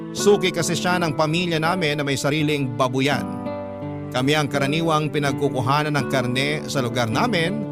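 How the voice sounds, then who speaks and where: A man's voice: fairly high pitch, lightly acted. A man playing a young letter writer, narrating the story of his youth in a scripted drama style. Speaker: first-person narrator. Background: loud guitar music.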